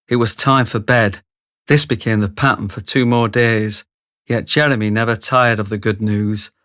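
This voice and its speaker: A man narrates a radio play from a script. His voice sits fairly low, with a close, dry studio-like sound. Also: fairly bright tone, dry recording.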